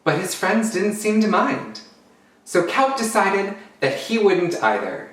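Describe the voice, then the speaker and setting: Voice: medium pitch, big pitch swings, takes it slowly, a bit bright, distant mic. A drag queen host reading a children's picture book aloud in a theatrical way, drawing out words for young listeners. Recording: roomy, echoey, away from the mic.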